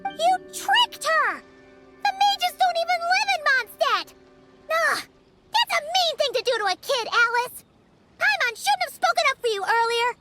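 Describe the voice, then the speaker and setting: A woman voicing a small, excitable, childlike fairy companion in a video game, chatty and exclamatory. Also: very high voice, a little slow. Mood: indignant. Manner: exclamatory, refers to herself in third person. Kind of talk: video game cutscene acting. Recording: music playing behind the voice.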